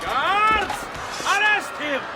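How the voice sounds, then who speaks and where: A very high-pitched male magistrate, stern and pompous. He declaims slowly and formally, as in a video game drama, with music clearly behind him. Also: lively intonation, heavily acted. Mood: commanding. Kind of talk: theatrical video game cutscene acting.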